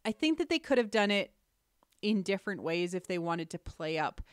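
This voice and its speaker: Female podcast host, analytical and emphatic, medium-pitched voice, dry close recording. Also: very animated, moderate pace, clean tone, no room sound.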